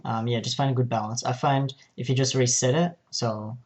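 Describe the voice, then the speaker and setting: A man narrating an informal software tutorial, explaining each step in a hesitant way. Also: mid-range voice, fairly even intonation, a little fast. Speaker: tutorial presenter.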